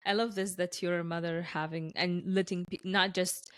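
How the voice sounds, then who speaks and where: A young woman hosting a podcast, Arabic her first language, chats eagerly and often restarts her sentences. Her voice is a little low and sounds dry and close. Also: steady tone, normal speed, dry recording. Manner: warm encouraging interjections, laughs often, restarts. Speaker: podcast host. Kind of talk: casual conversational interview.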